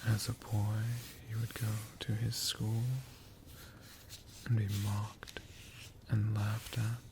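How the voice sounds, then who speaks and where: A storyteller whispering a tale for ASMR in a breathy, hushed voice, with dramatic phrasing and long pauses. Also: mid-range voice, completely flat delivery, noisy background. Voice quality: breathy, mostly unvoiced whisper.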